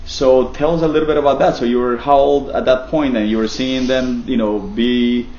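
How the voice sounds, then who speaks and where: A man's voice: medium pitch, fairly even intonation, moderate pace, a little mellow, reverberant, dull audio. A man from Colombia interviewing a colleague in a casual, joking way, often repeating himself. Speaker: interviewer, real estate team leader.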